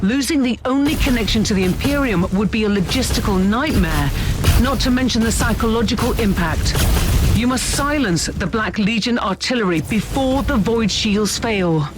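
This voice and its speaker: An actor voicing a shrewd starship captain in a video game, calm, measured and wry. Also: medium pitch, over music, close to an everyday voice. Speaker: ship captain.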